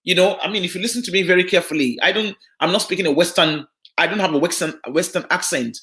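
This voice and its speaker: A woman's voice: on the low side, fast talker, a bit bright. A woman from Nigeria with a Yoruba accent, giving an earnest, explanatory live broadcast talk on social work, full of fillers and restarts. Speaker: social work guest speaker.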